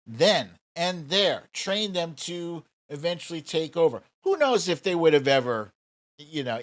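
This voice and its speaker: A man's voice: mid-range voice, a bit bright. An American man hosting a livestream, giving casual, informal political commentary with emphatic repetition. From United States. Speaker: livestream host.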